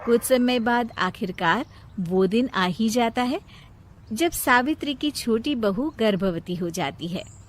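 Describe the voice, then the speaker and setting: A woman's voice: fairly high pitch, animated, takes it slowly. A woman storyteller reading scripted narration of a moral family story.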